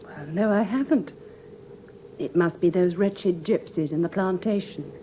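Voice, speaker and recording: A woman's voice: on the low side, a little slow, mellow, slight background noise, lightly acted. An actress playing a refined, anxious young woman in a classic radio drama, with a Received Pronunciation accent and genteel, earnest, precise diction. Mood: composed. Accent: English Received Pronunciation. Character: refined, anxious young woman recounting her sister's death.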